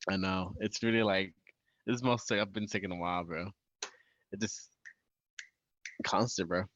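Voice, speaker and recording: A man's voice: deep voice, steady tone, moderate pace, a bit bright, dry recording. A young man co-hosting a podcast in a laid-back, easygoing way, chatting and reading out news stories. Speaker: podcast co-host. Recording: dull lo-fi sound.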